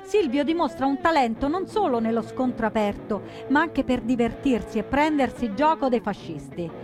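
An actress playing a proud young woman partisan in her twenties, telling her story in measured, earnest theatrical narration. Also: high voice, lively intonation. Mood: passionate. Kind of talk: scripted theatrical first-person narration. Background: plucked guitar music.

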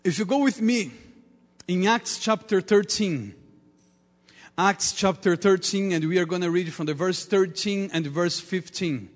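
A man with a Portuguese accent, a native Portuguese speaker, preaching a sermon earnestly in halting English with repeated words. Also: sits high. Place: large reverberant church room. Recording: reverberant hall with long echo.

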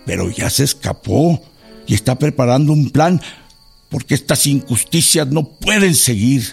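Radio drama revolutionary: polite, persuasive Mexican gentleman, husky, faint music behind. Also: medium pitch, animated, moderate pace. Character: earnest, educated revolutionary businessman pleading his cause.

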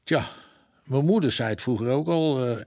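A man's voice: mid-range voice, moderate pace, darkish tone, natural voice. A man playing a folksy, plain-spoken police detective who defers to his inspector in a radio drama. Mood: eager, puzzled.

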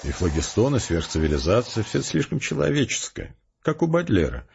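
An actor playing a pedantic, ironic, unflappable intellectual skeptic in a Russian radio drama, speaking precisely. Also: male voice, lowish voice, very animated, a little fast, vocal fry, darkish tone, slightly rough, muffled recording, heavily acted. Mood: lecturing.

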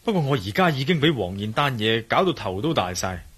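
An actor playing an earnest, preoccupied adventurer and investigator in a Cantonese radio drama, with a Hong Kong accent. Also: male voice, medium pitch, big pitch swings, brisk, fairly bright tone, breathy edge. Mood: weary, troubled.

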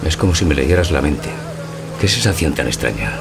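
A man's voice: animated, a little fast, exaggerated voice. A man from playing a bewildered, wounded lover in a radio theatre parody of a classic film. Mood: confused, pleading. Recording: clear background hum and rumble.

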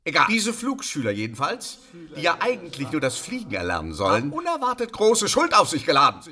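An actor playing a pompous, absent-minded boss in a radio play, speaking officiously. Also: male voice, on the high side, wildly swooping pitch, fast talker, bright, forceful tone, exaggerated voice. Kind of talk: German radio play dialogue.

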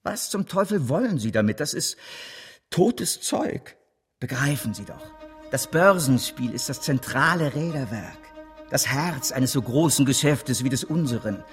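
An actor playing a driven, persuasive financier in a German radio drama, speaking in an emphatic, sweeping, rhetorical way. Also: male voice, mid-range voice, expressive, unhurried, faint music behind. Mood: fervent, persuasive. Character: driven, persuasive male financier, fervent gambler at heart.

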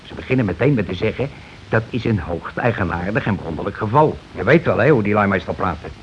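Male actor as domineering husband, radio play, colloquial, self-justifying, insistent, lively intonation, muffled old recording. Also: lowish voice, fast talker, slight background noise, natural voice. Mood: blustering. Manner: blustering, colloquial, repetitive, insistent. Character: scheming, domineering, self-justifying working-class husband and landlord. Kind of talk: Dutch radio play acting.

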